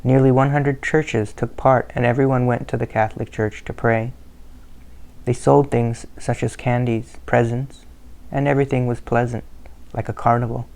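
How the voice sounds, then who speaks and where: A man reads a family history aloud in a steady, measured way. His voice stays flat and even and has a gravelly edge. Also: mid-range voice. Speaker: narrator. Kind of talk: scripted narration of a family history.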